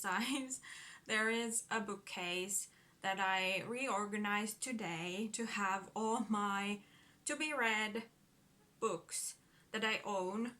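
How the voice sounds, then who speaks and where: Slow, nervous Finnish woman vlogging. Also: medium pitch, pushed and bright. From Finland. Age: 30s. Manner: nervous, giggly, hesitant with many fillers. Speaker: book vlogger. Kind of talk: casual vlog talk to camera. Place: at home in front of a bookcase. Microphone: phone camera.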